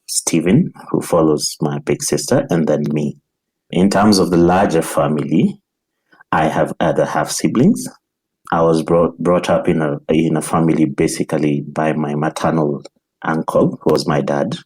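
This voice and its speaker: Very deep, husky Kenyan man. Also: darkish tone, low-quality recording. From Nairobi, Kenya. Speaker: podcast guest. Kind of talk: personal recollection in an interview.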